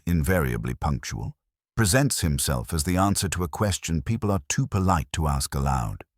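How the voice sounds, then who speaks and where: A man voicing a prim, shrewd older woman, genteel and dryly amused, with crisp, precise speech in a storybook reading. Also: a bass voice, no echo, studio quality. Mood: confiding, wry.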